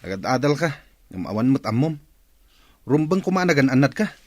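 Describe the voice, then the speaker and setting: An actor playing a smooth-talking married womanizer in a radio drama, casual and coaxing. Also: mid-range voice, big pitch swings, brisk, a little mellow, dry recording, natural voice. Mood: defensive, irritated. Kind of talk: radio drama acting in Ilocano.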